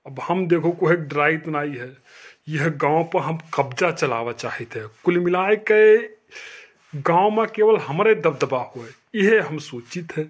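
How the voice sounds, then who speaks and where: A man plays a cocky, drunken cartoon villager with an Awadhi accent and a fairly high pitch. He sounds sulky and defiant, swaggering like a self-appointed village don. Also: lively intonation, a little slow. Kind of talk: comic cartoon dialogue.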